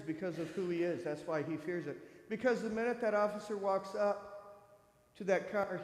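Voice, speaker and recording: A man's voice: high voice, darkish tone. A man over fifty giving an earnest, conversational personal testimony to a church congregation, speaking directly to his listeners. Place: church sanctuary. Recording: echoey hall with long reverb.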